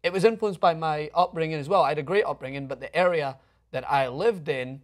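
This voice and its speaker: A man's voice: on the high side, a little fast. A young man with a Scottish accent giving his opinions casually as co-host of a studio talk show. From Scotland. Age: young adult. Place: TV-style studio, indoors.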